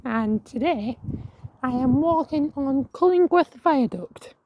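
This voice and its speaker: A woman with a croaky voice walking outdoors, chatting casually to her viewers and reading signs aloud with many restarts. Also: high-pitched, lively intonation, highs cut off. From England. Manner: rambling, restarts words, reads signs aloud haltingly. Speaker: vlogger. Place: outdoors on a viaduct.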